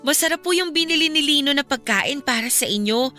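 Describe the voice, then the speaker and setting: A woman's voice: high-pitched, expressive, fairly quick, bright, forceful tone. An actress playing a polite, worried young woman who tries to keep the peace in a Filipino radio drama. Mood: polite, uneasy. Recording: music bed behind the voice.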